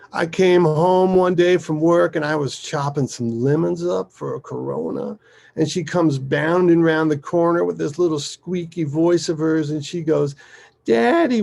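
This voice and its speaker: A man voicing a rough, animated father who reminisces fondly in an emphatic, colloquial way, within a dramatic story. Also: fairly high pitch, unhurried, pitched above the performer's own voice. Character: rough, foul-mouthed, animated father reminiscing fondly about his daughter.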